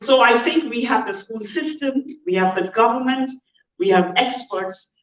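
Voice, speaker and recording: Indian woman keynote speaker, fairly high voice, earnest and measured, distant dull hall sound. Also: animated. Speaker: conference speaker. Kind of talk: conference keynote talk. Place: large conference hall.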